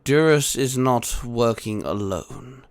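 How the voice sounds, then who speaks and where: A man's voice: mid-range voice, slow, clean tone, lightly acted. Man voicing a terse, ominous informant. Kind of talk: dramatic audiobook character acting.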